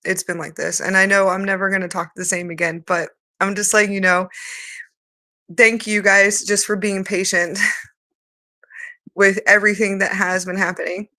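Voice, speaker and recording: Woman channeler, bright sharp tone, strained altered voice, rhythmic chanted syllables mixed with loose chat. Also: medium pitch, flat delivery, normal speed. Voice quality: voice she says sounds changed and strained. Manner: chants flowing rhythmic nonsense syllables between plain remarks. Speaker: spiritual channeler. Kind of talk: light language channeling and casual talk.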